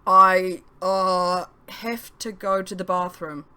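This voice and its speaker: A woman's voice: fairly low pitch, fairly even intonation, slow pace, bright, forceful tone, clean tone. Woman reading an awkward, stammering young man. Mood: flustered. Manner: hesitant, stammering. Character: young male apprentice, awkward, flustered, stammering.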